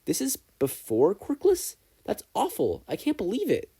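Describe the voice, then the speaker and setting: Man as teenage schoolgirl, raised pitch, aghast, exclaiming, husky, audiobook story. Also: wildly swooping pitch, normal speed, dark tone, exaggerated voice. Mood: horrified. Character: horrified, tender-hearted teenage schoolgirl.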